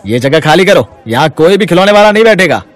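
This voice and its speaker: A man's voice: on the high side, big pitch swings, fast, a faint crowd. A man acting a brusque, bossy shopkeeper. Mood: scolding. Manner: brusque, scolding. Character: bossy, hard-hearted male shopkeeper shooing away poor vendors. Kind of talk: Hindi moral-story cartoon dubbing.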